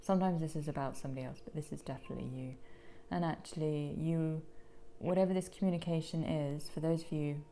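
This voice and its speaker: Soft croaky woman reading tarot. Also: deep voice, fairly even intonation, fast talker, hardly any pauses, slight background noise. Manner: gentle, soothing, drops into whispers. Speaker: tarot card reader. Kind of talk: pick-a-card tarot reading.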